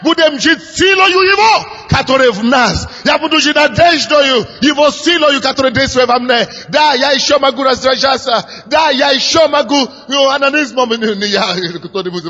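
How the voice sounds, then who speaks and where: A man's voice: very high voice, moderate pace, few pauses, pushed and bright. A man preaching. He grows fervent, repeats his phrases and leads the people in prayer. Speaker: pastor. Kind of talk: sermon leading prayer. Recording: dull lo-fi recording in a reverberant hall with music.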